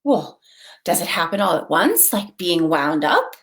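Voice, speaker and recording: A woman's voice: on the low side, sing-song, a little slow, a bit bright. A woman voicing a small, shy young male toy rabbit in a storybook, asking earnest, wondering questions. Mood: curious, wondering. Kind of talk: storybook character reading.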